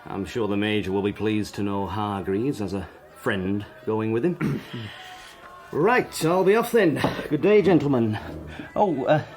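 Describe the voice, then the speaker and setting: A man acting a British army sergeant in a radio drama, with a lowish voice. He is polite and deferential to officers, measured in delivery, with a quietly scheming air. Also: soft background music, close to an everyday voice. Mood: businesslike, sly.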